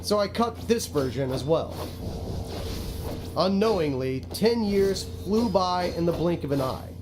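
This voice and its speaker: A theatrical video game narrator with a high voice that swings up and down freely. He is a self-justifying, pleading developer who pushes his own game, with music clearly playing behind him. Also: expressive, unhurried. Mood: pleading, self-pitying.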